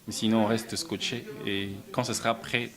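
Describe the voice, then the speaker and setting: A man from Belgium answering interview questions about his music and films, soft-spoken, often stumbling and repeating words. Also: lowish voice, level tone, moderate pace, crowd noise. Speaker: musician and film writer, interview guest.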